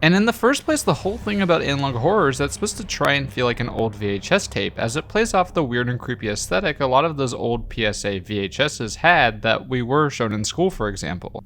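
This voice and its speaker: A man's voice: mid-range voice, a bit bright. A man delivering a scripted video essay as a reviewer, in a critical, opinionated way. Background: background music.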